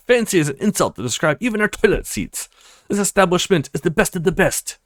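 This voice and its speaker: A man's voice: high-pitched, very animated, brisk, fairly bright tone, no room sound, clean studio sound, voice pushed up. A man voicing a snobbish, condescending maitre d' in a read-aloud of a video game. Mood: haughty. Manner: pompous, condescending, fawning to VIPs. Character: snobbish pompous French maitre d' at a posh restaurant.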